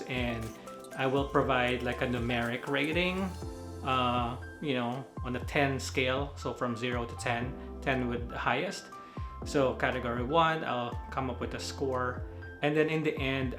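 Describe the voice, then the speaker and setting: A man's voice: medium pitch, roomy sound. Filipino-accented man rambling through a review. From Philippines. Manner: rambling, many fillers, laughs at own slips. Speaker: movie reviewer. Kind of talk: casual spoken movie review.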